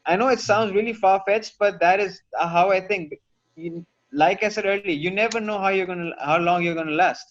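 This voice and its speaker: Male guest, earnest, methodical, slightly raised pitch, dull lo-fi remote audio. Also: a little fast. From Pakistan. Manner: earnest, lays points out step by step, dry joking asides. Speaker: dentist and teacher, podcast guest. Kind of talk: reflective interview answers.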